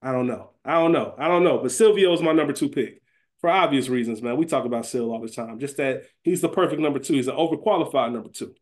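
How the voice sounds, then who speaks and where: A man's voice: mid-range voice, fast. Casual, emphatic man co-hosting a podcast. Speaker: podcast co-host. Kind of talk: casual sports-draft style podcast chat.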